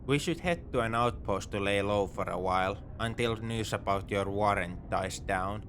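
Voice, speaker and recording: A man playing a begrudgingly soft-hearted space pirate captor in a sci-fi audio roleplay, speaking to the listener in an exasperated, dismissive, teasing way. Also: on the low side, normal speed, a little hiss or hum, lightly acted. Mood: wry, practical. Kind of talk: sci-fi ASMR roleplay acting, addressing the listener.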